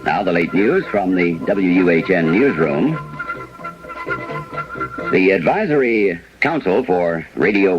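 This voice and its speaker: A man's voice: sits low, muffled recording. An actor playing a sober 1970s local radio newsman reading a late bulletin formally, on a worn old recording. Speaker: radio newscaster.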